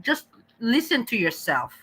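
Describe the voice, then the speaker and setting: Bright-voiced woman, scolding rant, Austrian accent. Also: a little high, sing-song, a little slow, breathy edge. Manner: profane, scolding, repetitive. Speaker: streamer reacting to clips. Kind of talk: ranting reaction commentary.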